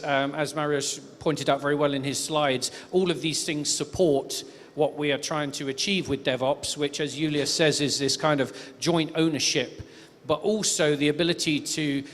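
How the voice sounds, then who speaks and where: Male moderator, slightly high-pitched, chatty and hedging, long hall reverb. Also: a bit bright. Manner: chatty, hedging, lots of fillers. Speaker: panel moderator. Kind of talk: moderating a tech conference panel. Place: conference stage.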